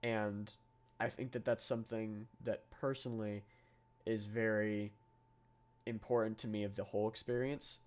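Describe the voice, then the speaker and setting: A man's voice: lowish voice, little pitch movement, normal speed. A young man hosting a call interview, asking long, rambling questions with restarts. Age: young adult. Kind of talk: casual interview over a call.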